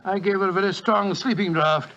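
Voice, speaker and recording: An actor playing the detective's earnest, loyal doctor companion, who narrates the story in a 1940s radio drama. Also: male voice, high-pitched, steady tone, fairly quick, pushed and bright, a little hiss or hum. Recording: dull 1940s radio broadcast.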